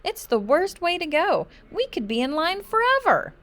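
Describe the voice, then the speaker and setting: An anxious young boy from a children's storybook, played by a woman. The voice is high and squeaky, swinging widely as he frets dramatically over every possible disaster. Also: sing-song, moderate pace, fairly bright tone, exaggerated voice. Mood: alarmed. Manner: squeaky, breathless worrying. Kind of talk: children's storybook read-aloud.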